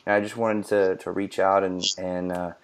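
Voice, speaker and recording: A man's voice: low-pitched, flat delivery, normal speed, smooth. A man hosting an interview, speaking hesitantly with many fillers and restarts. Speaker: interview host. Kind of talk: casual interview.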